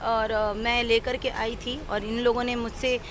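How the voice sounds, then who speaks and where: A woman's voice: a little high, level tone, measured pace, a little hiss or hum. A woman giving an unscripted, earnest and detailed personal account as an interviewee. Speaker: interviewee telling her own account.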